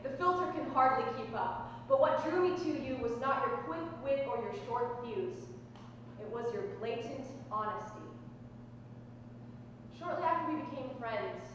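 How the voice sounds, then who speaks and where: A young woman performing spoken word poetry on a small stage, speaking deliberately and dramatically with pauses. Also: on the high side, a bit bright. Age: young adult. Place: small dark stage indoors. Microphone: distant camera microphone. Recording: echoey hall, distant mic, noisy room tone.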